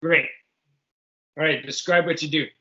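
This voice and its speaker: A man's voice: on the high side, steady tone, moderate pace, pushed and bright, muffled recording. A man running a tabletop game as game master, casually prompting his players with questions.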